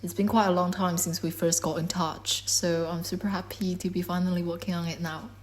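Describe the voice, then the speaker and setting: A woman in her twenties whose first language is Korean gives a measured, reflective talk about her art. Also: lowish voice, little pitch movement, a little hiss or hum. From China. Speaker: artist. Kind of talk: prepared artist talk.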